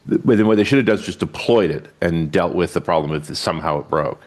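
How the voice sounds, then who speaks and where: Man, very deep voice, matter-of-fact, explaining tech practice. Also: fast talker. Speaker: podcast panelist. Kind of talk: casual chat.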